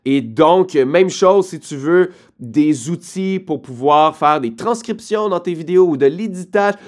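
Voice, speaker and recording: Québécois guy vlogging, fairly high voice, talks straight to you, punchy advice. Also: animated, a little slow, a little mellow. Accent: Québécois French. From Quebec, Canada. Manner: direct, addresses viewer as tu, emphatic. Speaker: online business creator. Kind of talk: casual advice vlog.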